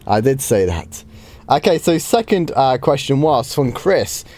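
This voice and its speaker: Hoarse gamer chatting casually. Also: male voice, mid-range voice, big pitch swings, a little slow, darkish tone. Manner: chatty, easygoing, with frequent ums and light laughs. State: slightly hoarse from a starting cold. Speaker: game streamer. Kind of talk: casual live stream Q&A.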